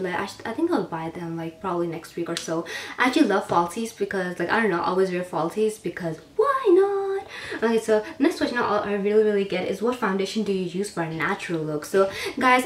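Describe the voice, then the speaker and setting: An eighteen-year-old woman from Pakistan, answering viewer questions in a rambling, casual vlog style, filled with "like". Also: medium pitch. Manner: chatty, rambling, heavy use of "like". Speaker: beauty vlogger. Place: bedroom, indoors. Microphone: camera mic at a short distance. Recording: echoey room, a bit distant, faint hiss.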